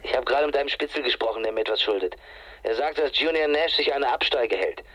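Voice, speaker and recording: An actor playing an eager, clipped police detective in a noir radio drama. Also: male voice, medium pitch, fast, a bit bright, husky. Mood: urgent, businesslike.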